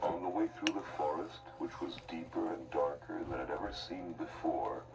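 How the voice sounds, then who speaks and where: Male storyteller, extremely low and animated, dramatic scripted narration, distant with loud music behind. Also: normal speed, gravelly, roomy sound.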